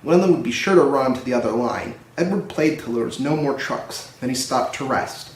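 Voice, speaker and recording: A man's voice: medium pitch, a little fast. A man narrating a children's story as a storybook reader. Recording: roomy echo, distant microphone.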